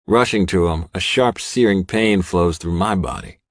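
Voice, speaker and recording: A man's voice: low voice, moderate pace, dry recording, studio quality. A man reading first-person dramatic fiction as an audiobook narrator.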